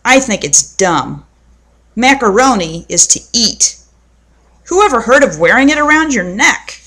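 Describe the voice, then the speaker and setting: A woman's voice: fairly high pitch, big pitch swings, unhurried, pushed and bright, full character voice. A woman voicing a grumbling older brother, a scornful, protesting schoolboy in a children's storybook. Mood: indignant. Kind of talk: children's storybook read aloud.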